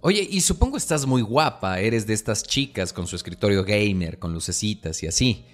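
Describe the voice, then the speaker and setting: A man's voice: fairly low pitch, animated, normal speed. A Mexican man hosting a comedy podcast, talking in a conversational, humorous, slangy monologue style.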